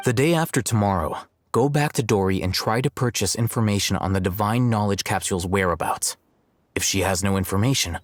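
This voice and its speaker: An actor playing a cool, calculating young male scholar in a video game, speaking in a measured, articulate, detached way. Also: on the low side, no room sound, natural voice. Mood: cool, assured. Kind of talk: English video game voice acting.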